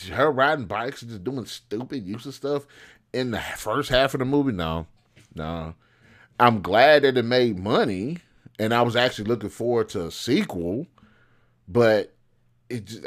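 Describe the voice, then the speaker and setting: Low-voiced man giving blunt casual commentary. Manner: blunt and opinionated, with mild swearing. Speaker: host and commentator. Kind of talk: casual unscripted film commentary.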